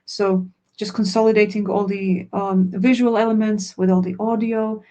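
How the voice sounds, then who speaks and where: A woman's voice: medium pitch, level tone, moderate pace, mellow. A woman whose first language is Croatian, a language teacher answering questions in a webinar in an earnest, plain way. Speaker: language teacher and product founder.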